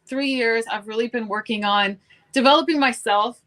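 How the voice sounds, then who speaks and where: A middle-aged woman from Cuba, a guest chatting casually in an interview, self-deprecating and lively in her storytelling. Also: fairly high pitch, normal speed.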